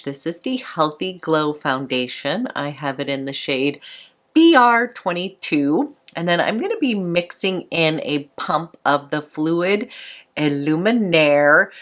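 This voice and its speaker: A woman beauty vlogger chatting brightly through a makeup tutorial, a little congested from a cold. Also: deep voice. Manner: bubbly and chatty, fond of "a little".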